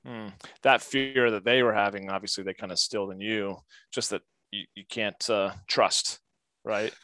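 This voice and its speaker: A man's voice: on the low side, fairly even intonation, moderate pace, sharp tone. A man hosting an interview, attentive and affirming, asking follow-up questions. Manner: attentive, affirming, frequent listening sounds. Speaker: podcast host. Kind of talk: interview host.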